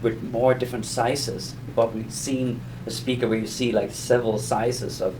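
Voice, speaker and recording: A man with a medium-pitched voice explains things in an informal lesson. He talks conversationally and haltingly, circling back and repeating himself. There is some light noise behind him. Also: level tone. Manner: halting, rambling explanations with self-repetition. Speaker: audio explainer teaching beginners.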